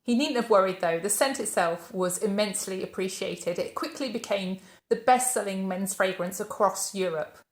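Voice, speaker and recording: A woman's voice: medium pitch, moderate pace, few pauses. Woman narrating in an echoey room. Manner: storytelling, poses questions then answers them. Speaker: channel host. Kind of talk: scripted narration of a biography.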